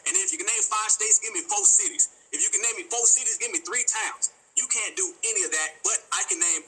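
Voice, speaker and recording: A man from the US deep south, a podcast co-host, heatedly grilling and mocking a caller in a live audio room. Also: pushed and bright, a little hiss or hum, rough recording. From Georgia, US deep south. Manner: mocking, interrogating, rapid-fire.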